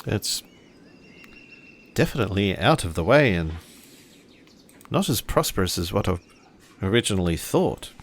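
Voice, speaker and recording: A man's voice: fairly low pitch, very animated, a little slow, long pauses, a little hiss or hum. A man roleplaying a thoughtful, observant traveller in a samurai tabletop game, musing aloud in a hesitant, improvised way. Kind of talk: improvised in-character dialogue.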